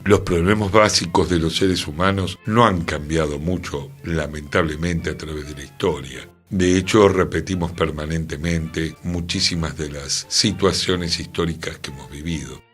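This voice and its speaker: A man with a Rioplatense Argentine accent in a reflective radio discussion, speaking in measured, emphatic phrases that trail off at the ends. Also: extremely low pitch, steady tone, a bit bright, soft background music. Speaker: radio talk participant. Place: radio studio.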